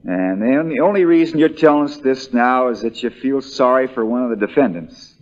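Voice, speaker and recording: An actor playing a shrewd, folksy American country lawyer, relentless in questioning, in the style of 1940s radio drama. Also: male voice, mid-range voice, a little fast, muffled recording, natural voice. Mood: dry, folksy. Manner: drawling folksy understatement that builds into hammering accusation.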